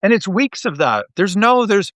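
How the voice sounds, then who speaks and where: A man from Canada with a somewhat high voice, recorded very dry. He talks casually as a guest being interviewed, telling things in an animated, rambling way and often repeating a phrase before moving on. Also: moderate pace, no echo. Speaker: interview guest, audio drama writer.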